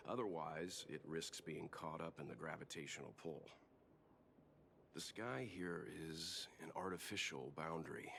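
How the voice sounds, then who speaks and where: Scholarly male game character, very deep voice, measured, analytical, composed, lecturing. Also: vocal fry, a bit bright, a little hiss or hum, full character voice. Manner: measured, erudite, matter-of-fact. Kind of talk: video game voice acting.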